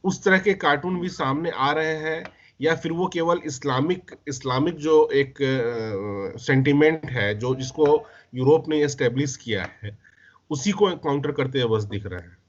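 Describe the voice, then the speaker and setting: A man with an Indian accent hosting a discussion, putting long reflective questions in a deliberate way and rephrasing himself as he goes. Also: on the high side, highs cut off. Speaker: interviewer.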